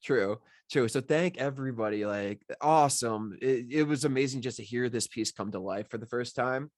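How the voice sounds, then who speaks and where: A man's voice: mid-range voice, normal speed, no echo. Effusive, rambling man, close dry sound. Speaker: writer receiving feedback on his script. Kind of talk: casual group discussion.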